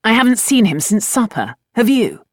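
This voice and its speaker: A woman's voice: on the high side, very animated, normal speed, darkish tone, no room sound, pitched above the performer's own voice. Irritable young aristocrat, played by a female narrator, storybook romance, clipped and indignant, dry close sound. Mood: questioning. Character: irritable, blunt young aristocratic man, an earl.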